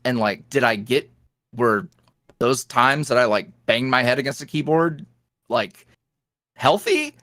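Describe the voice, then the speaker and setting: Man, podcast guest, rambling casual talk, lots of fillers, mid-pitched voice, dry close recording. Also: unhurried, pauses often, dry recording. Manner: rambling, candid, many fillers; swings between animated highs and low mutters. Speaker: podcast guest, ASMR roleplay creator. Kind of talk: casual interview chat.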